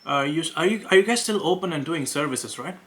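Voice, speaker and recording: Indian-accented man with a bright voice. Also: lively intonation, brisk. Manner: hesitant, many fillers and restarts, upbeat sales pitch. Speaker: web design agency owner making cold calls. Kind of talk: casual vlog talk and sales calls.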